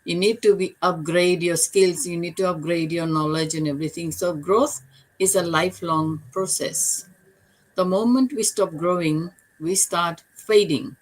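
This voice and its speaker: Retired woman from Fiji, earnest and steady. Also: on the low side, measured pace, rough recording. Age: older. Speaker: panelist, retired business mentor. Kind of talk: online panel talk.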